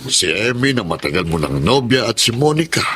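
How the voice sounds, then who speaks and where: A man's voice: lowish voice, sing-song, a little fast, bright, forceful tone, a little hiss or hum. An actor playing a stern, concerned older father in a Filipino radio drama, admonishing earnestly. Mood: reproachful.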